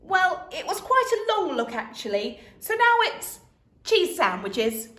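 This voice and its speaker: A woman reading a storybook and voicing an eager, rushing young girl. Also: very high voice, big pitch swings, roomy sound, pitched above the performer's own voice, full character voice. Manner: bright, breathless, rushing.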